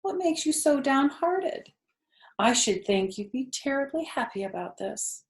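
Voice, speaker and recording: A woman's voice: high voice, sing-song, measured pace, reverberant, voice pushed up, full character voice. A woman voicing an innocent, eager young male pig in a children's storybook, full of naive questions. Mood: eager, concerned. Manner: wide-eyed, questioning, naive.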